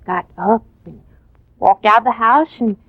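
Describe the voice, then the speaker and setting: A woman playing a respectful, wistful housekeeper in 1940s radio drama style, halting and confiding. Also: fairly high pitch. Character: deferential, long-serving female housekeeper, wistful. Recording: dull, dry vintage radio recording.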